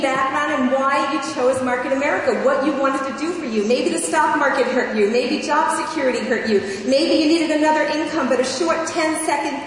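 A woman giving a motivational sales training talk as a convention speaker, emphatic, repeating phrases and quizzing her audience with rhetorical questions. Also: high-pitched. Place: large convention hall. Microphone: recorded from far off in the room. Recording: distant, echoey hall with faint noise.

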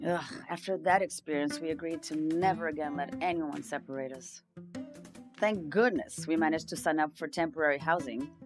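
Low-voiced, weary woman telling her story as acted. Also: close to an everyday voice. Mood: exasperated relief. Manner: confiding, conversational storytelling. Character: weary, earnest homeless migrant woman recounting hardship. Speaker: narrator-protagonist of an audio drama. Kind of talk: first-person dramatic monologue. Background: plucked guitar music.

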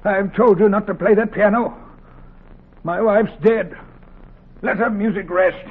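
An actor playing a gruff, grieving, weary middle-aged doctor in a 1940s radio drama, with a gravelly, husky voice. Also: male voice, sits high, steady tone, a little slow, full character voice. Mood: angry. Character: gruff, grieving older Southern doctor and surgery teacher. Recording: tinny old 1940s radio recording.

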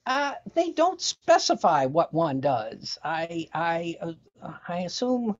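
A woman's voice: on the low side, big pitch swings, slow pace. Female panelist, hesitant casual explainer, dull video-call recording. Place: indoor room, video call.

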